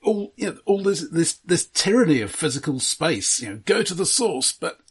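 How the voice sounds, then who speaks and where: A man co-hosting a casual film discussion, arguing his points in a measured, analytical way. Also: fairly high pitch, sing-song, slightly rough. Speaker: podcast co-host.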